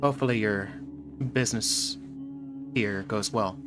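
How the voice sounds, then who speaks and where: A man voicing a doomed woman in an audio drama, resigned yet quietly hopeful, with a polite, halting manner. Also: on the low side, natural voice. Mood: polite, grateful. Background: music.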